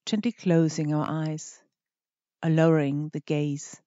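A meditation guide with a mellow, relaxed voice leads the listener gently through each instruction. The delivery is measured, with deliberate pauses between short phrases. The recording is close and dry, slightly dull in tone. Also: medium pitch, dry recording.

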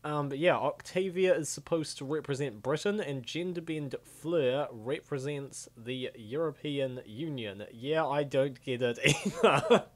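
A man hosting a comic dub, giving casual, excitable, exclamatory reaction commentary. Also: fairly high pitch, unhurried, few pauses. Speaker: comic dub host and narrator.

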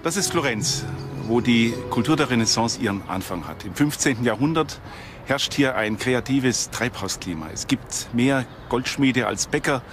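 A man's voice: mid-range voice, expressive, moderate pace, music in the background. A man speaking as an expert presenter, explaining in his own words. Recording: noisy location sound. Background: ambient noise.

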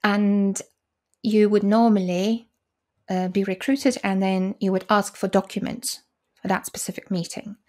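A woman's voice: mid-range voice, fairly even intonation, a little slow, mellow. A woman in her forties whose first language is Polish, explaining her work as an interpreter in a video call interview, with frequent restarts and fillers. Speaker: conference interpreter, guest. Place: small room indoors. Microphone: video call, desk mic.

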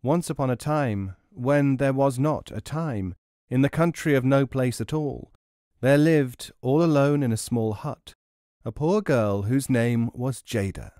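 A man's voice: medium pitch, warm tone, no room sound. Man narrating a storybook, dry studio sound. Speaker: audiobook narrator.

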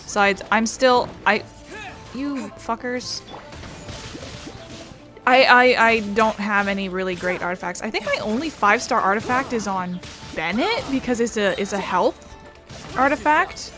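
A woman's voice: a little high, expressive, measured pace, long pauses. A woman giving casual, rambling, wry commentary as she plays a video game. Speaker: gaming streamer. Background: game music.

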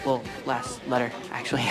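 A man's voice: mid-range voice, completely flat delivery, normal speed, over music, close to an everyday voice. A young man playing an awkward, goofy teenage boy who stammers and fumbles when nervous. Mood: starstruck. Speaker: teen sitcom character. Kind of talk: sitcom acting.

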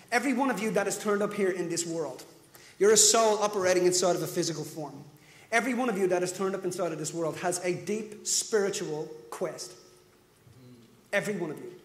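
A man in his forties with a Dublin Irish accent, telling a story on stage as a motivational speaker, moving and gesturing as he talks. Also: high-pitched. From Ireland. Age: 40s. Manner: storytelling, animated, gestures widely while pacing. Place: large conference room with audience. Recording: echoey hall with reverb.

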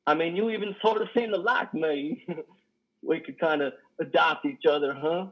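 A man acts out a lonely trucker confessing in a play reading. The voice is fairly high for a man and raspy, halting and folksy. The video call audio is slightly dull. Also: lightly acted. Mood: shyly hopeful. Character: lonely, guilt-ridden, worn-out male trucker confessing. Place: living room, on a sofa. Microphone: headset on video call.

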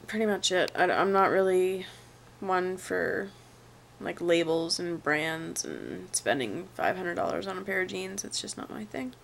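A woman's voice: on the low side, expressive, unhurried, creaky, slight background noise. A young woman with a stuffy, congested nose from a cold, chatting in a laid-back way for her vlog while sitting on a sofa at home, recorded on a camera held close. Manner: chatty and laid-back, trails off quietly at the ends of sentences. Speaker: vlogger. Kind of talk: casual vlog answering tag questions. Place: living room, sitting on a sofa, indoors. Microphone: webcam or handheld camera at arm's length.

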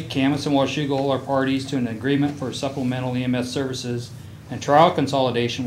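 A man speaking as fire chief, reading a formal staff report to a city council. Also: medium pitch. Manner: formal, read-from-notes delivery. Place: council chamber. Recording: roomy council chamber with faint noise.

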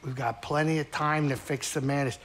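A man playing a small, four-armed, hairy alien saloon owner in a video game, gruff but warm, with a blustery, fussing manner. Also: on the high side, fairly quick, natural voice. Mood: fond, concerned. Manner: animated, fussing, excitable, blustery. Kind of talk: video game cutscene acting. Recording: long hall reverb, faint background noise.

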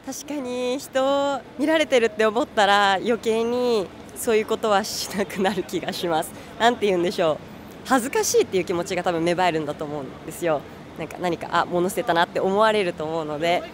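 A young woman speaks at a middle pitch into a handheld mic, answering thoughtfully with small pauses and fillers. Clear city street noise runs behind her. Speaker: interviewee. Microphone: handheld mic held by interviewer.

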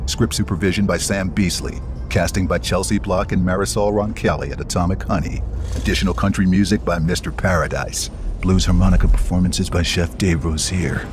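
A man with a very deep voice reads scripted credits in the manner of an announcer. Music plays clearly behind him. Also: steady tone, fairly quick.

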